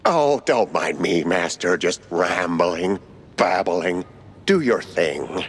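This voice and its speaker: A man's voice: deep voice, animated, slow, husky, full character voice. An actor playing an eccentric, obsequious lab caretaker in a cartoonish video game, rambling in a theatrical gothic henchman manner. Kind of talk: cartoonish game cutscene acting. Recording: long echoing reverb.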